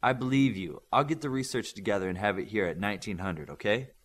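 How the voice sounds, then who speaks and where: A man voicing a brisk adult male coach, kindly but firm, in an audiobook. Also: on the low side, lightly acted. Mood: approving.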